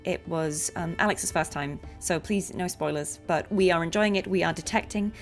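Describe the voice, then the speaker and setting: A woman's voice: fairly low pitch, moderate pace. A woman chatting casually on a livestream while commentating on a video game, giggly and often interrupting herself. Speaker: game streamer. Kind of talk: casual livestream chat and gameplay commentary, reading dialogue aloud. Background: video game music.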